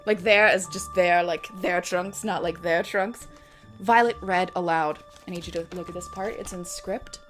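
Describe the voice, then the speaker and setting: A woman's voice: lowish voice, steady tone, normal speed, a bit bright. Theatrical, self-mocking woman reading over music. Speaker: reader and host. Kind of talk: dramatic reading of own fan fiction with wry asides.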